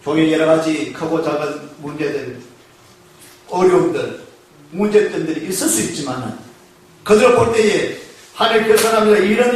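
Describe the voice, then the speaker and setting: High-voiced preaching man in echoey church. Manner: call-and-response preaching, asking the congregation questions; slows to stress key phrases. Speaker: pastor. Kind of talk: sermon. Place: church sanctuary. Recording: echoey church hall, mic far off.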